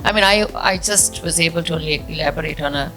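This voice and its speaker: Lower-voiced woman panelist answering. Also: fast talker, music in the background. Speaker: panelist, former mayor. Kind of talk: answering audience question.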